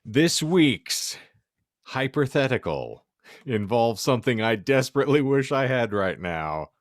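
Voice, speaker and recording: A man's voice: medium pitch, sing-song, measured pace, no echo, clean studio sound. A man hosting a casual podcast with a theatrical, mock-solemn turn of phrase. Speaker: podcast host. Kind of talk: casual banter and hypothetical read-out.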